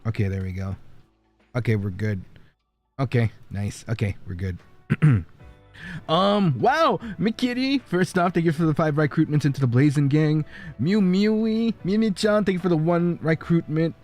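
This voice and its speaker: A man hosting a casual livestream, greeting and thanking viewers by name with frequent laughs. His voice sits a little high and swings all over the place. Soft music plays faintly behind him. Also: lively intonation, moderate pace. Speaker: streamer. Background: faint background music.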